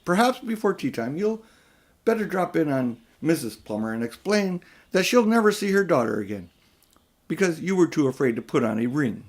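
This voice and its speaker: A man's voice: very animated, moderate pace. A man voicing a haughty, manipulative older uncle who is a magician in a children's audiobook, speaking in a condescending, smug way. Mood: taunting. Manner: high and mighty, condescending, coolly smug. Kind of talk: children's audiobook character reading.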